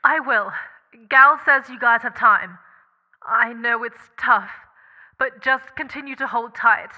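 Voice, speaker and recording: A high-voiced young female rescuer played by an actress tries to hold steady under pressure. She is anxious and urgent, with hesitations as she reassures others. The sci-fi drama recording sounds a little dull. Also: slow. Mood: worried, reassuring. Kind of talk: scripted audio drama acting.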